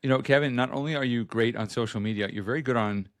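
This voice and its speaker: A man's voice: mid-range voice, fast. A man hosting a podcast as a journalist, giving casual news updates in a chatty, self-correcting way.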